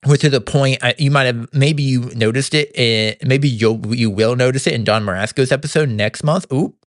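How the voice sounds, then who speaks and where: A young man talks through a casual podcast recap, upbeat and quick to laugh, often stopping and restarting his sentences. His voice is smooth and clear, with a slight gravel now and then, and it sits at a middle pitch. The recording is close and dry, with no room sound. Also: fairly bright tone, dry recording. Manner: halting, many restarts and stammers. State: throat sometimes tight and slightly gravelly. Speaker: podcast host. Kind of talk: casual monthly recap monologue.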